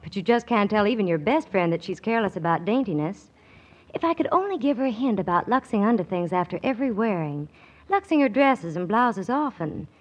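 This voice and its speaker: Actress as a perky young woman, animated delivery. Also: medium pitch, brisk, muffled recording, natural voice. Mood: confiding concern. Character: perky, confiding young woman in a soap advertisement. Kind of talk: 1940s radio commercial acting.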